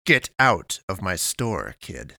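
A gruff, righteous storekeeper, acted by a male narrator, booms out blunt, colloquial lines. He is an indignant audiobook character, recorded close and dry. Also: sing-song, fairly quick, a bit bright, no room sound, full character voice.

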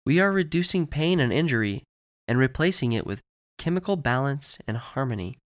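Guy doing a upbeat corporate promo lady, measured and polished, pitched up a bit. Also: expressive, dry recording, clean studio sound, natural voice. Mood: upbeat, soothing.

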